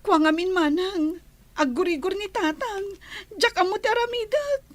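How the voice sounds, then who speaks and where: A woman's voice: extremely high pitch, moderate pace, no echo. An actress playing an anxious, devoted, girlish younger sister in a radio melodrama. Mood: alarmed. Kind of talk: melodramatic radio drama acting.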